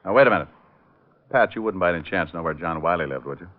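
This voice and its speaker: Hardboiled private eye, low voice, lively swinging delivery, wry, flippant, muffled phone-like vintage radio sound. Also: male voice, fast talker. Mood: wisecracking. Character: wisecracking, breezy male private detective. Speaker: lead actor and narrator. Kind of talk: hardboiled old-time radio narration and acting. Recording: muffled, narrow vintage radio recording, music.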